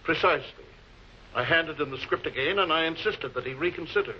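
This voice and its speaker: A man's voice: a little high, fairly quick, lightly acted. An actor playing a wry, defensive male novelist under suspicion in a 1940s television crime drama, with formal, articulate, guarded speech. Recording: muffled, noisy old film soundtrack.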